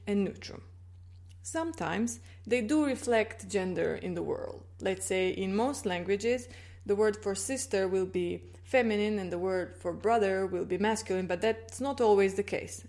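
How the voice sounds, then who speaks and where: A woman's voice: mid-range voice, darkish tone. Woman, language teacher, patient explainer, checks understanding often. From Croatia. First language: Croatian. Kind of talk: explaining grammar in a video lesson.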